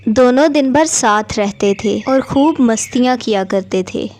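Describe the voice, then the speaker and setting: A woman's voice: on the high side, a little slow, a little mellow. A woman reading scripted narration for a children's cartoon story.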